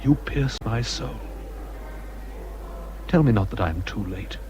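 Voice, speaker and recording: An ardent, anguished period naval captain. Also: male voice, lowish voice, animated, unhurried, dark tone, muffled recording, a little hiss or hum. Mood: impassioned longing. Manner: earnest, formal, impassioned. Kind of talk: period literary radio drama.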